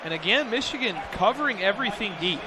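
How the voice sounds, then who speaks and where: An American man provides color commentary for a football radio broadcast. His voice is somewhat high, and he explains stats and plays in a conversational, analytical way. Clear stadium noise runs behind him. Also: lively intonation, fast talker, over a noisy crowd. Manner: stat-minded, conversational explaining. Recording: noisy stadium broadcast background.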